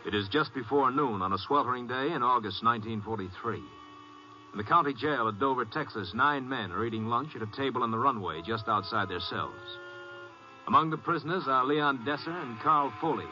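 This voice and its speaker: An actor giving sober, scripted American narration for a 1950s radio police drama. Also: male voice, medium pitch, normal speed, bright, forceful tone, soft background music. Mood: sober, factual. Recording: dull old radio transcription.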